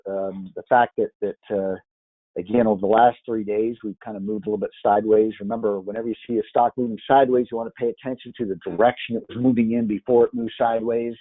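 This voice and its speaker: A hoarse man walking through market charts on screen, hesitant and full of fillers and restarts. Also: lowish voice, dry recording, narrow, tinny audio. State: hoarse from yelling. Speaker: market analyst. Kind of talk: screen-share chart walkthrough.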